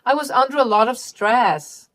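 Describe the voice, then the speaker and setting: Woman acting a spoiled, melodramatic younger sister, pitched up. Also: animated, normal speed, a bit bright. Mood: desperate pleading. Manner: melodramatic, pleading and accusing. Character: spoiled, scheming younger sister, adult woman, whiny and indignant.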